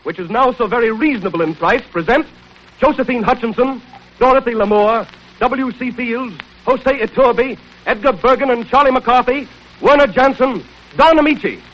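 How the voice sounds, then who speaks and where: A man's voice: extremely high pitch, normal speed. A man making a projected, declamatory sponsor announcement on 1930s radio. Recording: muffled 1930s radio broadcast.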